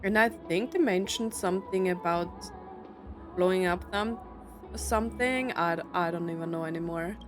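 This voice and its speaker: A woman's voice: on the low side, normal speed, over music. A woman giving casual, hesitant let's-play commentary, peppering her sentences with filler words. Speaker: streamer playing a game.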